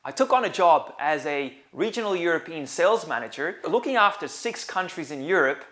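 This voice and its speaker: A middle-aged business coach from Belgium talks straight to the camera from his couch. His voice sits a little high for a man, and he tells his story in an earnest, measured, reflective way. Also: a bit bright. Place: sitting on a couch indoors.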